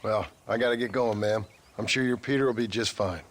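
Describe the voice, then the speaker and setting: An actor playing a laconic, dryly polite American cowboy gunslinger in a western video game. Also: male voice, on the low side, brisk, lightly acted.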